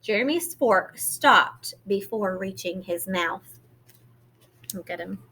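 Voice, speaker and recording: A woman reading a children's picture book aloud in an expressive, teacherly way, pausing to put questions to young listeners. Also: mid-range voice, a little hiss or hum, poor audio. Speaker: read-aloud storyteller.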